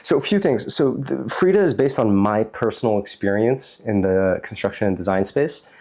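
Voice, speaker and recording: A man's voice: on the low side, animated, normal speed, darkish tone. A man from New York with an American accent, talking casually and explaining things as an interview guest. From United States, New York City. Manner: explanatory, conversational, frequent "like". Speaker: interview guest, startup chief executive.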